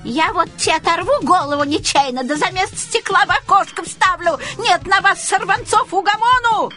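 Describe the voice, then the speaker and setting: A woman's voice: very high voice, normal speed, pushed and bright, soft background music, voice pushed up. A woman voicing an angry, distrustful old woman who scolds and grumbles in a folksy way in a storybook reading. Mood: furious scolding.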